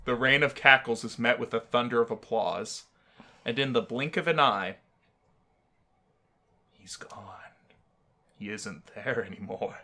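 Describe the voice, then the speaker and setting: A man narrating a visual novel aloud as a gaming host, with expressive, theatrical storytelling. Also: mid-range voice, measured pace, pauses often.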